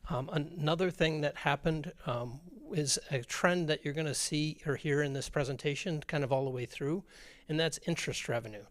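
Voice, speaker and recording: A hoarse man, a school district business director giving an informal budget presentation at a public meeting, with many fillers and restarts. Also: on the high side, moderate pace, no room sound.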